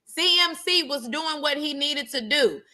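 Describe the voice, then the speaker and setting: A woman's voice: high-pitched, measured pace, pushed and bright. A woman co-hosting a sports talk show, making her points with emphatic repetition in casual chat. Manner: emphatic, repeats points for stress.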